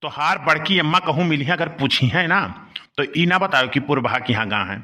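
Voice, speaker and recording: A man's voice: a little high, expressive, normal speed, pushed and bright. A man playing a middle-aged village uncle in a comic cartoon, grumbling and rustic, with an Awadhi accent. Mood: pleading, wheedling. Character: scolding village uncle, easily blackmailed, henpecked and wheedling.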